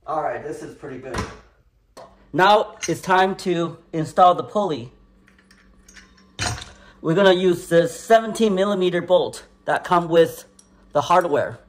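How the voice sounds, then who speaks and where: A man slowly and methodically explaining a garage hoist install, step by step. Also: on the high side, lively intonation, slight background noise. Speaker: DIY instructor. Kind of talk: step-by-step how-to narration. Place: home garage.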